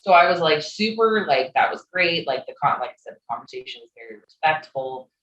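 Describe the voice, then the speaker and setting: A woman's voice: deep voice, expressive, fairly quick, fairly bright tone, roomy sound, highs cut off, a little hiss or hum. A woman with a Midwestern American accent chatting casually about dating. She giggles easily and fills her talk with "like.". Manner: giggly, lots of "like" fillers. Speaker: podcast guest.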